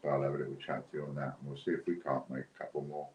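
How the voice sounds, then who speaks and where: A British man with a low, deep voice chats informally as a livestream host, wandering from one point to the next. The sound is roomy and echoing, with noticeable noise behind him. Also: flat delivery, racing, a little mellow. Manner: chatty, informal, rambling. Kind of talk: casual unscripted livestream chat. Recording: dull lo-fi, roomy echo, clear background noise.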